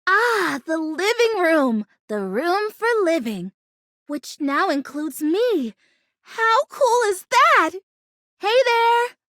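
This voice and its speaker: A super high perky little houseplant girl for a video game, gushy and rambly, voice bouncing all over, dry close sound. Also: very animated, takes it slowly, fairly bright tone, dry recording, full character voice. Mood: bubbly, excited. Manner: bubbly, gushing, rambling, easily wounded. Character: perky, chatty young female plastic houseplant who longs to be real. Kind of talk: animated video game character acting.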